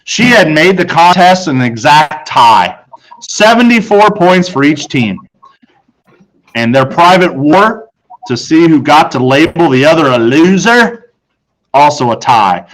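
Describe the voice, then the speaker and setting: Male audiobook narrator, slightly high pitch, expressive children's story reading, dramatic pauses. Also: rough recording.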